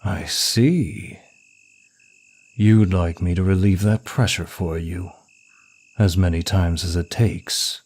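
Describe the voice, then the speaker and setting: A very low-voiced, suave demon of lust, acted by a man for an ASMR roleplay. He teases smugly and draws out his words at a slow, deliberate pace, every line seductive. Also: a little mellow. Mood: amused, smug.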